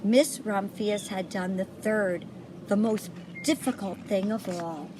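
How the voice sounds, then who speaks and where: A woman reading a children's picture book aloud, pausing to point things out to young listeners. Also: medium pitch, background noise. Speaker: storybook reader.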